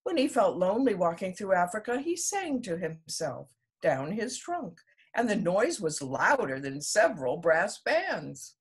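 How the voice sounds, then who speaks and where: Woman, storyteller, children's book reading, highly expressive melody, husky tone, medium pitch. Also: unhurried.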